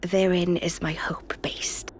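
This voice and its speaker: An actress playing a wise, cryptic queen in a video game, speaking with solemn, measured formality. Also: fairly low pitch, level tone, whispered. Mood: grave, explanatory.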